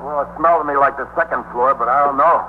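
An actor playing an excitable, helpful working-class male building superintendent in a 1950s radio police drama, talking colloquially and urgently. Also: mid-range voice, fast talker, lightly acted. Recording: very noisy narrowband 1950s radio recording.

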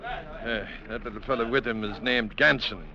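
Actor as gruff, gravelly river-boat captain. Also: male voice, mid-range voice, fairly even intonation, moderate pace, crowd noise, close to an everyday voice. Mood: sardonic, warning. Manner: blunt, sardonic, shouts orders. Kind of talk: 1940s-50s radio drama acting. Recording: dull, noisy old radio recording.

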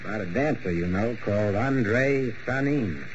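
A man playing a dry, persistent homicide detective in 1940s radio mystery style, deliberate and skeptical in manner. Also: lowish voice, animated, lightly acted. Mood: politely probing. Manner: deliberate, skeptical, politely insistent. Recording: muffled, noisy old radio broadcast.

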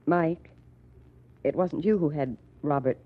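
A woman's voice: low-pitched, slow. An actress playing a subdued, anxious woman, a gangster's old flame, hesitant and earnest, in 1940s radio style. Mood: anxious, grateful. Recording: thin, tinny old radio recording.

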